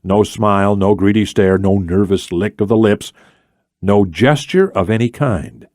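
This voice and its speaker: A man's voice: lowish voice, a little slow, darkish tone, no echo, clean studio sound. A man reading scripted thriller narration as an audiobook narrator.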